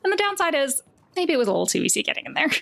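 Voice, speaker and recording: A woman's voice: high voice, big pitch swings, fast, creaky, fairly bright tone. A woman narrating a tabletop roleplaying game as game master, in a colloquial, conversational storytelling way.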